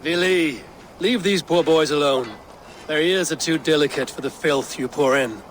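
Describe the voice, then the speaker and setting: An actor playing a stern male Viking raid leader in a video game cutscene, speaking in a grave, measured, commanding way. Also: on the high side, a little hiss or hum, lightly acted. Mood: resolute.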